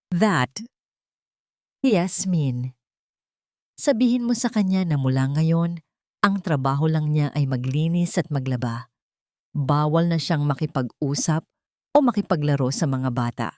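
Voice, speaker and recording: A woman narrator plays a cold, haughty Filipino woman who lays down rules in an audiobook drama. Her delivery is commanding and clipped, with condescending, swooping emphasis in a fairly low female voice, recorded dry. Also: darkish tone, no echo. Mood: dismissive, commanding. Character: haughty, strict female child-therapy expert, bossy and cold. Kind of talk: audiobook character dialogue mixing Tagalog and English.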